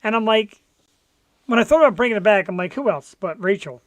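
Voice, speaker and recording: A man co-hosting a casual live talk show, chatty and quick to laugh. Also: high voice, animated, dry recording, low-quality recording. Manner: chatty, laughs often, hypes the guest. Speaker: co-host.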